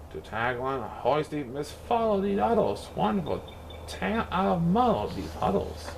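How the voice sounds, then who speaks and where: Male storybook reader, playful and theatrical, very lively pitch, faint noise in the recording. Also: creaky. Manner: theatrical, playful rhyming delivery with exaggerated emphasis. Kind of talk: expressive children's picture-book read-aloud.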